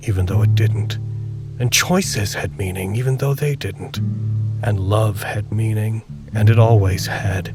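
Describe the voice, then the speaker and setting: A man narrating a literary drama podcast as a solemn, reflective storyteller, speaking in a deliberate way. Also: on the low side, lightly acted. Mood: earnest, reflective. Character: solemn, reflective male storyteller of a bleak tale. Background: music with guitar.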